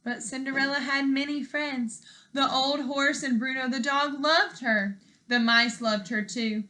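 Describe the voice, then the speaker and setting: A young woman reading a children's storybook aloud as a teacher, expressive, with deliberate emphasis. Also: high voice. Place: living room at home.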